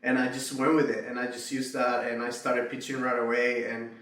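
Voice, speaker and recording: A man's voice: mid-range voice, level tone, brisk, fairly bright tone. A man from Miami giving a casual, reflective testimonial about a freelance writing course, often adding "right?" and "you know". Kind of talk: testimonial interview. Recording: echoey room, distant mic, faint music.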